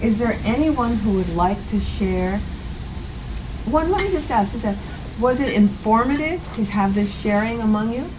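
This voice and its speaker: A woman facilitating a community meeting on a handheld mic, speaking gently and haltingly as she searches for words. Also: on the high side, lively intonation, background noise. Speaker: group facilitator. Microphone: handheld microphone.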